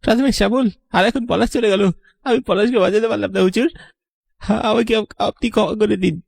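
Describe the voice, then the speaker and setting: A man's voice: high-pitched, fast talker, vocal fry, no echo, studio quality. A man voicing an earnest, anxious but determined young madrasa student in a horror cartoon. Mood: distraught, apologetic.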